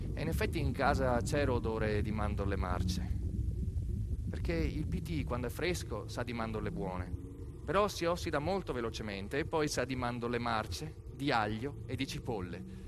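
A man tells a story as a theatrical monologue, in a mid-pitched voice. His delivery is emphatic, shaped by deliberate pauses and repeated phrases. Loud background noise runs through the recording. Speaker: stage actor and monologue narrator.

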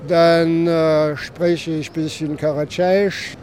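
A man, an Abaza speaker, explaining things haltingly as a local guide in an interview. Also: on the high side, flat delivery, darkish tone. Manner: halting, searching for words.

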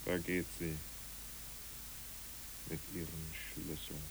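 Brooding, gravelly low-voiced man. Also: little pitch movement, slow, exaggerated voice, low-quality recording. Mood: resigned. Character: brooding, grieving male friend, haunted by memories. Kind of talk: poetic German radio drama acting. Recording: clear tape hiss.

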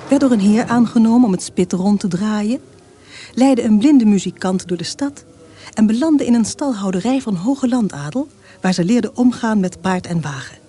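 A woman narrating a radio drama as a scripted story, in a measured, formal manner. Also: medium pitch, animated, warm tone, breathy edge.

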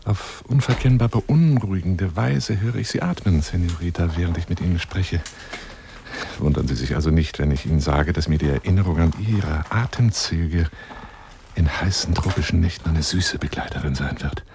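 Pompous lion hunter sweet-talking a lady on the phone, low gravelly voice, radio play. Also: normal speed, a little mellow, a little hiss or hum. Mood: intimate, yearning. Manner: grandiloquent, wheedling, intimate, dropping into whispers. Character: florid, self-styled lion hunter courting a woman by phone. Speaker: radio play actor in a monologue. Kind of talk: theatrical German radio drama monologue.

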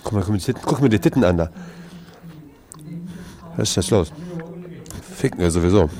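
A man with a fairly low voice blurts short, crude bits of casual banter. A clear crowd of other voices surrounds him. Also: animated, brisk.

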